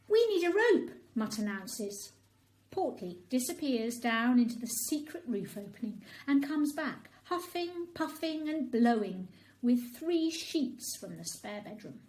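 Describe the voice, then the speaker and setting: Lively, high-voiced woman reading a bedtime story. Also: rough recording. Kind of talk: expressive bedtime story narration for children.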